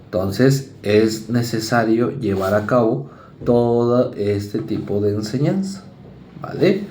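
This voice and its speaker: A Mexican man lectures a university psychology class in a relaxed, conversational way, now and then acting out quick exchanges with students. His voice sits at an ordinary male pitch. The recording is roomy and echoey, with a faint background ambience. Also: a little hiss or hum. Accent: Mexican Spanish. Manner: conversational, acts out students and parents in quick asides.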